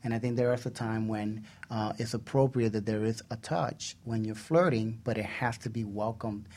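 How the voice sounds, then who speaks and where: A man's voice: lowish voice, fairly even intonation, normal speed, a little mellow. A man hosting a casual radio discussion, talking in an earnest, conversational way. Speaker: radio show host.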